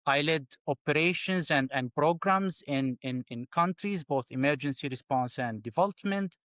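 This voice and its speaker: Measured, halting man from Syria. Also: a little high, fairly bright tone, no echo. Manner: measured, slightly halting, repeats words. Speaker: guest. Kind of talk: interview answers.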